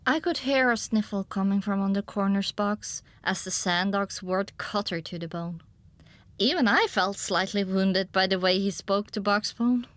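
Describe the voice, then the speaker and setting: A woman narrating a scripted first-person story for an audiobook in an expressive storytelling manner. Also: mid-range voice, normal speed, no room sound.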